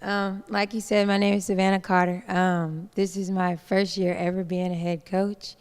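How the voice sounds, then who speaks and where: A woman in her twenties with a soft voice, a little nervous, giving informal remarks as a coach at a media day. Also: medium pitch, level tone, moderate pace, clear, resonant tone. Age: 20s. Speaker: college basketball coach. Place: large indoor hall, at a microphone. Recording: echoey hall sound with long reverb.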